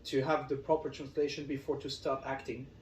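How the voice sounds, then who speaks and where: A man's voice: mid-range voice, flat delivery, brisk. A man from France with a French accent, chatting casually as a podcast guest in a room at home. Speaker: podcast guest, actor and model. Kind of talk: casual chat. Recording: echoey room, distant mic, faint noise.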